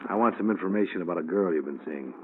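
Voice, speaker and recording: Tinny old-radio hard-boiled detective. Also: male voice, low-pitched, racing. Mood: cool, probing. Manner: clipped, slangy, sardonic. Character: wry, hard-boiled male insurance investigator. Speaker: lead actor and narrator of a detective series. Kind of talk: old-time radio detective drama acting. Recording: tinny, band-limited old radio recording with noise.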